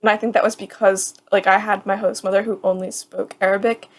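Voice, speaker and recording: A woman's voice: mid-range voice, little pitch movement, brisk. A young woman in her twenties co-hosting a casual podcast, talking in a chatty, rambling way full of "like.". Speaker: podcast co-host.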